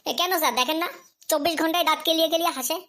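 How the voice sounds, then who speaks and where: A cheeky little schoolboy with a very high, sharp voice. He rattles off silly, playful backtalk at great speed in cartoon comedy style. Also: racing. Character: cheeky, silly schoolboy with literal-minded comebacks. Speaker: pupil answering a teacher.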